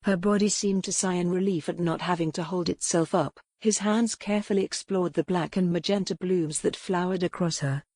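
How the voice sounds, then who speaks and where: A woman's voice: on the low side, steady tone, moderate pace, few pauses, darkish tone, no echo. A woman reading scripted narration of a historical romance novel as an audiobook narrator.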